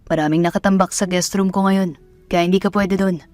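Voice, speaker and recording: A woman's voice: fairly low pitch, steady tone, a little fast, a little mellow, dry recording, natural voice. Lady reading a hurt guarded boyfriend in a love story, dry close sound, faint music. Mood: matter-of-fact. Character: wounded, earnest young man, guarded lover and new father.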